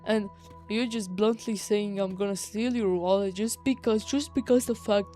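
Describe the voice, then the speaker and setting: Mellow-voiced Romanian teenage girl ranting. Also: mid-range voice, steady tone, moderate pace, soft background music. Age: teenager, high schooler. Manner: exasperated, slangy, emphatic with swearing. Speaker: vlogger. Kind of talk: casual personal rant.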